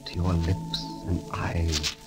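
An actor playing a roguish, glib young poet-thief in a theatrical, flowery 1930s radio drama manner. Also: male voice, sits low, little pitch movement, slow. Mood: ardent, romantic. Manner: theatrical, flowery, grandly declaiming. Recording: old 1930s radio broadcast, music behind.

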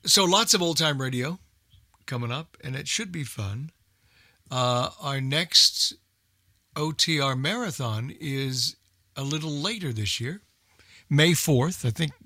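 A man's voice: mid-range voice, bright, forceful tone, dry recording, studio quality. A man hosting a radio show, giving casual, rambling unscripted announcements in an informal way.

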